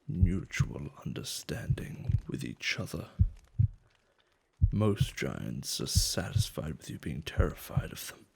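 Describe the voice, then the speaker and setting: A man acting a gentle, caring giant in a soft-spoken, soothing and affectionate ASMR roleplay, with slightly formal phrasing. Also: exaggerated voice. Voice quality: low, soft, gravelly. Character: gentle male giant, tender, curious and caring toward humans.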